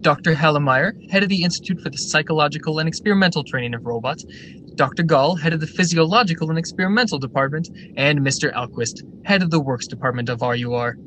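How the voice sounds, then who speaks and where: A confident male factory director played by an actor with a fairly high voice. He declaims his ideals in polished radio-drama style. There is faint noise on the recording. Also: quick pace, a bit bright, close to an everyday voice, poor audio. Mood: assured, amused.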